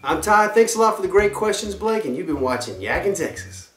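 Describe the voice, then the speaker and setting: A man's voice: sits high, animated, brisk, echoey room, distant mic, over music. A man in his thirties hosting a kayak fishing show, answering viewer questions to camera in an upbeat, chatty way from his living room. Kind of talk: casual Q&A to camera. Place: living room, seated on a couch.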